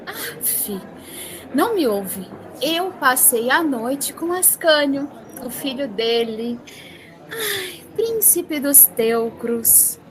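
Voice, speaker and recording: Woman acting a high-voiced, defiant young princess. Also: very animated, extremely slow, exaggerated voice, low-quality recording. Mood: lovestruck defiance. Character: defiant, melodramatic young princess, sassy lovestruck daughter. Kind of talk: comedic audio drama acting.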